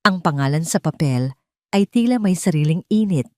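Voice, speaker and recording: A woman's voice: sits low, animated, moderate pace, no echo, studio quality. A woman reading a scripted romantic drama as a storyteller, with dramatic narration.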